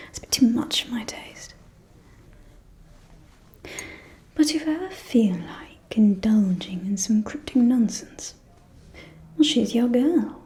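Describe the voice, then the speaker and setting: A woman playing a gossiping, catty aristocratic vampire lady in a whispered ASMR roleplay, with a breathy, confiding, arch delivery. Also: fairly high pitch, mellow, natural voice. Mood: wry, dismissive. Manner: slow, confiding, arch, drawling.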